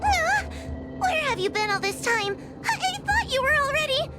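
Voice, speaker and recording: A woman's voice: very high voice, very animated, normal speed. A woman playing a timid, tearful young fox girl in a video game, sweet and apologetic, with a hesitant, trembling, plaintive delivery. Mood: relieved, timid. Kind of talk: animated video game voice acting. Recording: voice over loud game music. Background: game music.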